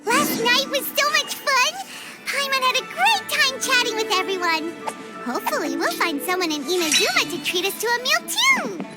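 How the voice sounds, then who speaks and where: A woman voicing a tiny, bubbly, chatty girl companion in a game, who talks about herself by name in a high, childlike voice. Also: sing-song, moderate pace. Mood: excited. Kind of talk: game cutscene voice acting. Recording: game audio with music behind.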